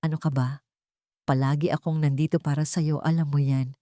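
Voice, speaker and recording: Casting: woman narrator as upbeat, supportive female best friend for audio drama; slightly low register, dry close sound. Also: moderate pace, no room sound, close to an everyday voice. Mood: reassuring. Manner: lively, chummy, encouraging. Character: cheerful, reassuring young married woman's best friend, female.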